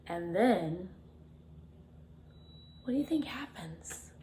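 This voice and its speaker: A woman's voice: on the low side, slight background noise. A woman reading a picture book aloud to children like a teacher, expressively, with dramatic emphasis and chatty asides. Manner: expressive, dramatic emphasis, drawn-out refrains, chatty questions to young listeners. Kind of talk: animated children's storytime read-aloud with asides to listeners.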